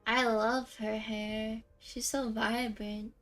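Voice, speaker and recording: A woman's voice: fairly high pitch, level tone, slow pace, fairly bright tone, soft background music. A woman livestreaming a game, reacting casually in a gushing, playful way. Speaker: game streamer. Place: game livestream with game music behind.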